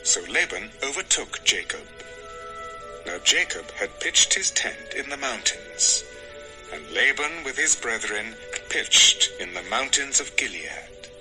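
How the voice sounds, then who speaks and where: A man's voice: mid-range voice, sing-song, slow pace, music in the background, rough recording. A man narrating scripture from a script as the narrator of an audio drama.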